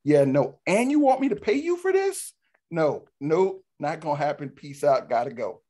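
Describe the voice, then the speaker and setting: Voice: medium pitch, sing-song, normal speed, warm tone. A workshop participant on a video call speaking emphatically and repeating phrases for effect. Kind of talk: animated personal comment.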